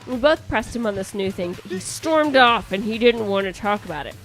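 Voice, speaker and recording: A woman's voice: mid-range voice, a little fast, faint music behind, low-quality recording. A woman with a Midwestern accent hosting a casual comedy podcast, reading a listener letter and riffing on it with lots of restarts. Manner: animated, lots of restarts and asides. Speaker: podcast host.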